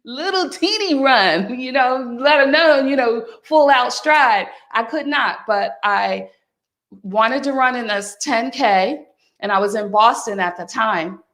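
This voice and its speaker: A woman in her fifties giving a motivational wellness talk, speaking warmly and directly to the listener as "my friend" and "honey.". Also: a little high, expressive, unhurried. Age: 50s. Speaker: wellness coach. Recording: echoey room.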